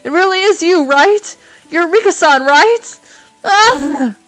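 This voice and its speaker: A woman's voice: very high-pitched, expressive, takes it slowly, a bit bright, dry recording, faint music behind, exaggerated voice, poor audio. A woman voicing a grief-crazed teenage girl, shrieking and sobbing in repetitions. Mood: tearful relief.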